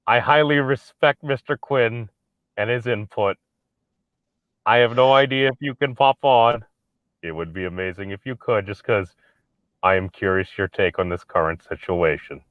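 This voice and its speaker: A man hosting a late-night stream, talking in a halting, mock-formal way. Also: lowish voice, no room sound, phone-quality sound. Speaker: stream host.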